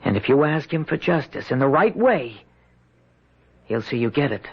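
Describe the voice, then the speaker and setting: A simple, stubborn young soldier lad from an old radio drama, speaking in a high, lively, folksy voice. He is naive, halting and utterly earnest. The sound is dull 1970s lo-fi radio. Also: slightly rough, exaggerated voice.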